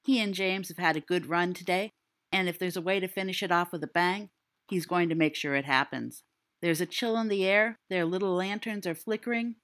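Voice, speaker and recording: A woman reading fan fiction aloud in a low, hushed narrating voice. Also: no room sound. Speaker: podfic reader.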